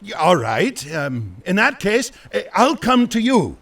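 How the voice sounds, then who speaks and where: An actor playing a gruff but kindly old fisherman in a fantasy audio drama, with a folksy, muttering, rustic way of talking. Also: sits high, wildly swooping pitch, a little slow, fairly bright tone, far from the mic, full character voice. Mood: concerned, hesitant.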